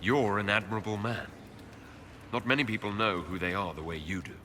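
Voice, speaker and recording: A man's voice: lowish voice, brisk, bright, forceful tone, slight background noise. A man playing an earnest, wounded elf hero in a fantasy video game, direct and firm. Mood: warm. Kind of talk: dramatic video game acting.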